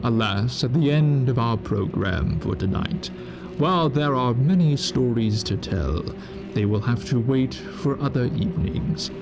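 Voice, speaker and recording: A man plays an eerie host who speaks from a drowned city of the dead, slow and gravelly, with courtly menace. Music swells beneath his measured farewell. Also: mid-range voice. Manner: slow, suave, menacing, formal address. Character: sinister ancient male host of a sunken necropolis. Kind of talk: radio drama narration. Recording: spooky music bed.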